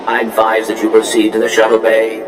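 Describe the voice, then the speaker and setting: A man's voice: on the low side, completely flat delivery, fairly quick, natural voice. Echoey, formal droid aide played by a man. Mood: formal, helpful. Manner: formal, precise, deferential. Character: courteous, formal male protocol droid aide. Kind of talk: scripted video game acting. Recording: roomy echo, clear background noise.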